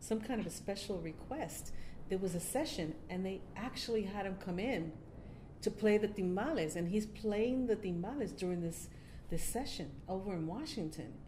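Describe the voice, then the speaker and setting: A woman's voice: medium pitch, animated, moderate pace, dark tone, a little hiss or hum, rough recording. A woman hosting an interview, asking curious, chatty questions. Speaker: interviewer, podcast host. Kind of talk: casual interview.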